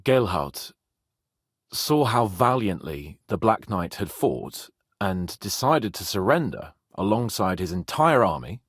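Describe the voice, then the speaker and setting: Casting: a young nobleman in a medieval game, lordly and loyal, lively intonation, rough-edged voice. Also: lowish voice, unhurried, no echo, clean studio sound, heavily acted. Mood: earnest, wistful. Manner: expressive, lordly, exclamatory. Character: spirited young nobleman in medieval Bohemia, haughty yet loyal. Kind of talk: dramatic video game voice acting.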